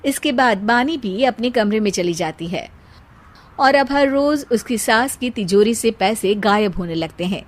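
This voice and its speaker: A woman's voice: fairly high pitch, expressive, unhurried. A woman giving scripted narration for a family cartoon story.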